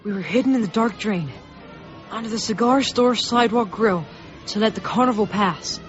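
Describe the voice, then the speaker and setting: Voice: mid-range voice, normal speed, natural voice. A performer playing an earnest, frightened boy who narrates a radio drama with breathless intensity. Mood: tense. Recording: muffled radio broadcast with music behind.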